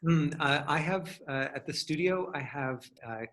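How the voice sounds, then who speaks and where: A man's voice: fairly high pitch, a little slow, a bit bright. A man leading a casual online class as an acting teacher, thinking aloud in a rambling, hedging way as he answers questions.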